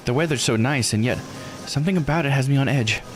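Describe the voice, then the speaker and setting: A man's voice: mid-range voice, fast, noisy background, voice pushed up, close to an everyday voice. A man voicing an earnest, curious young woman who is the polite heroine of a visual novel, speaking expressively. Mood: reflective. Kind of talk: visual novel character read aloud.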